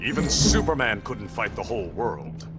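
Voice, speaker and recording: Proud ocean king for a fighting game, male actor: commanding, formal, animated pitch, over loud music. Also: normal speed, close to an everyday voice. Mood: cool, dismissive. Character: proud, stern warrior king of an undersea kingdom. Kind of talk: video game voice acting.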